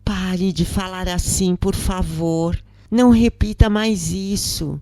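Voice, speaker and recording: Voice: mid-range voice, steady tone, slow, dark tone, dry recording, voice pushed up. A narrator voicing a worried, loving mother who pleads and confides in an audio story. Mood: pleading. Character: worried, loving working-class mother of prisoner.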